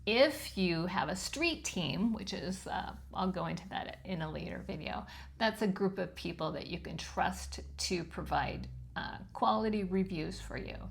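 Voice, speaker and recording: A woman over fifty with a high-pitched voice, giving frank, chatty advice on self-publishing with plenty of ums. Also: expressive, a little slow, creaky. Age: 50s or older. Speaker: channel host teaching self-publishing. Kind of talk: casual instructional talk to camera.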